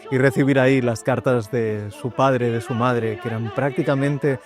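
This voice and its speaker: A man narrates a documentary in a calm, measured voice. His pitch sits in an ordinary middle range. Music plays clearly behind him. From Spain. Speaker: author presenting his book. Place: outdoors in city streets.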